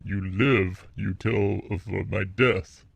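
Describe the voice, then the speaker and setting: Man playing a gruff, gravelly, glory-hungry warrior. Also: deep voice, steady tone, slow pace, no echo, heavily acted. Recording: dull, muffled lo-fi voice channel.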